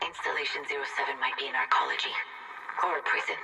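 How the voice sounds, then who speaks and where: A man playing a serious military scout in a video game audio log, filing field reports in a clipped, hushed, urgent way. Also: mid-range voice, wildly swooping pitch, quick pace. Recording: radio transmission with loud ambient noise.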